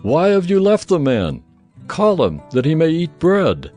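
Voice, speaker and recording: A father of grown daughters in a scriptural audio drama. His fairly high voice swings widely as he questions emphatically, with music playing behind him. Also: huge pitch swings, unhurried, a little mellow. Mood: surprised, insistent. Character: father priest of desert daughters, brisk and questioning. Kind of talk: audio Bible drama acting.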